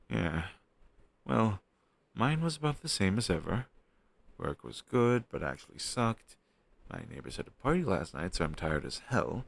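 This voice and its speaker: A man acting as a playful, teasing young best friend in a casual, expressive roleplay chatting directly to the listener. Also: lowish voice, creaky, a little hiss or hum, heavily acted. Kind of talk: roleplay audio drama, intimate casual chat with listener.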